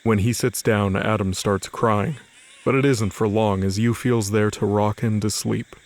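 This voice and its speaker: A man's voice: fairly low pitch, fairly even intonation, a little slow. A man narrating scripted fiction as the storyteller of an audio drama. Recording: faint music under the voice. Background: music.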